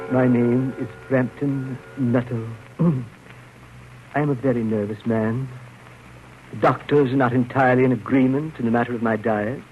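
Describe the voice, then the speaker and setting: An actor playing a fussy, nervous, proper gentleman in 1930s radio drama style, with a prim, halting delivery and habitual throat-clearing. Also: mid-range voice, over music, lightly acted. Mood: prim, anxious. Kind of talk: 1930s radio drama acting, first-person narration. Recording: muffled, noisy old radio broadcast.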